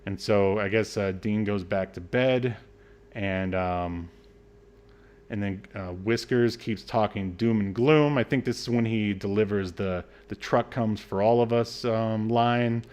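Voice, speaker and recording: A man's voice: fairly low pitch, measured pace, darkish tone, clean tone. A man co-hosting a podcast, recapping a film casually in a sardonic, exasperated way with some swearing. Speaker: podcast co-host.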